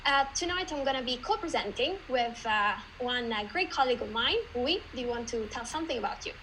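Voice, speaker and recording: A woman from Italy with an Italian accent, giving an online meetup presentation in a lively, chatty way with many "uh" fillers. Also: a little high, a little fast, slight background noise, poor audio.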